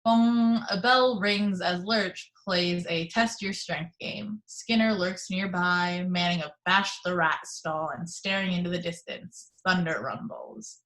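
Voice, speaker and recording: A woman reading the action description at a video call table read in an even, measured way. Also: lowish voice, rough recording. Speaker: narrator reading stage directions.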